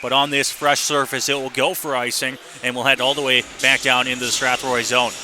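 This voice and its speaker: A man's voice: mid-range voice, little pitch movement, fairly quick. A man doing live radio play-by-play commentary of an ice hockey game as the announcer. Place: indoor hockey arena. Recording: noisy live arena radio broadcast.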